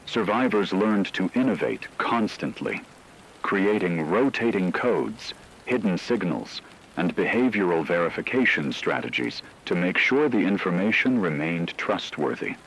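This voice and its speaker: Deep-voiced man narrating solemnly over noise. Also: animated, unhurried, fairly bright tone, rough recording. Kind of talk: dramatic scripted narration of an apocalypse story.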